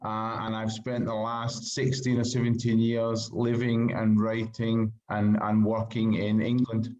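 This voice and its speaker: A man's voice: lowish voice, monotone, a little slow, a little mellow. A middle-aged man with a Scottish accent, answering casually as a writer being interviewed, heard through lo-fi call audio. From Glasgow, Scotland.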